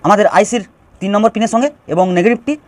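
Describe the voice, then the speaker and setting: A man's voice: high voice, racing. A man giving an informal step-by-step DIY electronics tutorial, explaining and restating phrases as he goes.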